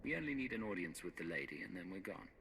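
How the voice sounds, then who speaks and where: An actor playing a cool, wry outlaw hero in a video game with dry, understated delivery. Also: male voice, low-pitched, big pitch swings, quick pace, gravelly, a bit bright, slight background noise, full character voice. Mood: probing, calm.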